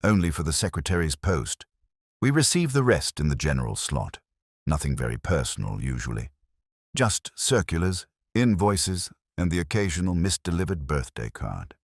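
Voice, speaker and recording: A man's voice: extremely low pitch, big pitch swings, normal speed, no room sound, studio quality, full character voice. A man voicing a fussy, evasive club official in an audiobook mystery, hesitant and a little dismissive.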